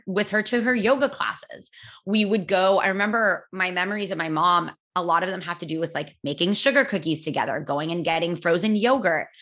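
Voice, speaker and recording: Loud, candid woman with a lowish voice. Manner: candid, reflective, many fillers and restarts. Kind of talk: personal storytelling.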